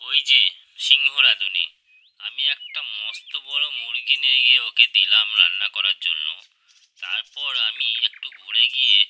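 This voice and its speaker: A man's voice: medium pitch, close to an everyday voice, rough recording. A man voicing an aggrieved hunting animal in a children's cartoon, complaining and insisting on justice. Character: aggrieved hard-working animal hunter pleading for justice.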